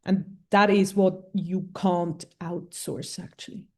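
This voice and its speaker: A woman's voice: fairly low pitch, level tone, takes it slowly. A woman, a journal editor, answering interview questions in a frank, plain-spoken way.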